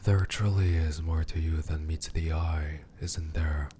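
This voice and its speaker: A man playing a cruel, possessive demon king in an ASMR roleplay, speaking in a slow, deliberate, condescending and softly menacing way. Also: extremely low pitch, steady tone, a little hiss or hum. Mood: amused, menacing. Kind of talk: scripted ASMR character roleplay.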